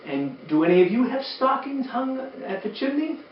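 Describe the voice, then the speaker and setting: Expressive man reading to children, roomy sound. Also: extremely high pitch, moderate pace, slight background noise. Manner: animated, addresses young children directly, exaggerated expression. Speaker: storyteller, former teacher. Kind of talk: children's storytime read-aloud with chatty asides. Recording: roomy, distant, dull sound.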